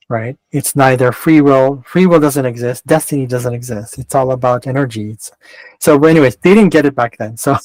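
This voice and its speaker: A man's voice: medium pitch, dry recording, low-quality recording. A man with a mixed Canadian and West Coast American accent giving a casual astrology chart reading, rambling and often interrupting himself. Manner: rambling, self-interrupting, digressive.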